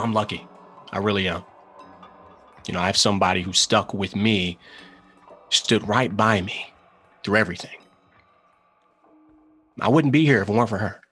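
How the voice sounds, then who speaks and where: An actor playing an earnest, weary recovering gambling addict in a table read, speaking in a halting, confessional way. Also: male voice, fairly low pitch, fairly bright tone. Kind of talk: screenplay table read acting.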